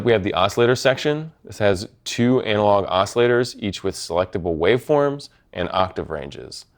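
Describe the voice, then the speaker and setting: A man giving a methodical, matter-of-fact product demonstration as a presenter. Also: low-pitched. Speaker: product presenter from a synth maker. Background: synthesizer tones.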